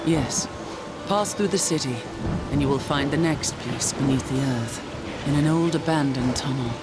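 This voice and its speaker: A solemn angel warrior commander. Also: female voice, very deep voice, moderate pace. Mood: solemn, wary. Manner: solemn, formal, resolute. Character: proud, weary female angel warrior commander. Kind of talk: dramatic video game cutscene acting. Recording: loud music behind the voice.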